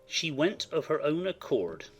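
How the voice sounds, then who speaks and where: A man playing a devoted, proud gentleman husband in a dramatized audiobook. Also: fairly high pitch, very animated, a little slow, slightly rough, soft background music, heavily acted. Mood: cold, resigned.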